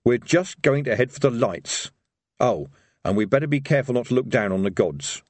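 A man's voice: medium pitch, lively intonation, normal speed, no room sound, natural voice. Storybook role: male reader as nervy, sardonic adventurer, dry and exasperated, varied intonation. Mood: dry, uneasy. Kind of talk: audiobook character voice.

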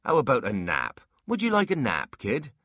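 A crafty dwarf, played by a male narrator, talking in a low male voice. He is wry and coaxing, a sly fantasy creature from a storybook, recorded close and dry. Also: sing-song, normal speed, a bit bright, breathy edge, no room sound, exaggerated voice.

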